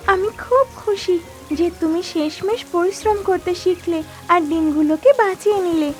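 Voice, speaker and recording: A woman voicing a small female bird in an animated moral story, expressive and inclined to chide. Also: extremely high pitch, normal speed, soft background music. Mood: glad. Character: small talking mother bird, anxious and scolding.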